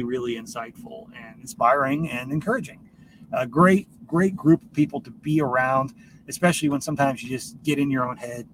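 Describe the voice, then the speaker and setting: Man rambling, far from a noisy mic. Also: medium pitch. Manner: informal, swears casually. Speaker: podcast host. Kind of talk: casual rambling solo podcast talk. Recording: noisy, distant microphone.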